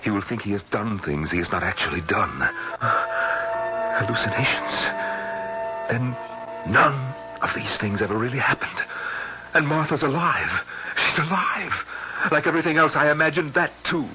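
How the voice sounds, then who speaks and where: A man acting an anguished, unravelling husband who narrates and speaks haltingly in a melodramatic radio drama style. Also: medium pitch, close to an everyday voice. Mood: desperate revelation. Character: anguished husband narrating his unravelling sanity, dazed and frantic. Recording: muffled old radio broadcast, music underneath.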